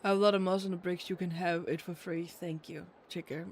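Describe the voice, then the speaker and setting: A man's voice: sits high, little pitch movement, a little fast, slight background noise. A man chatting casually with viewers as he streams a game, rambling and muttering distracted asides. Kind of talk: casual live gameplay chat with viewers. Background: faint game music.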